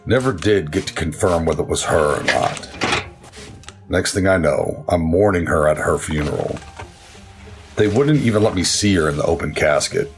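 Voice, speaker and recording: Very deep, somber haunted veteran. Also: male voice, normal speed, music in the background. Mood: bitter, regretful. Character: somber, guilt-ridden big brother and military veteran. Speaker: voice actor in an audio drama. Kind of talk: gritty crime audio drama acting.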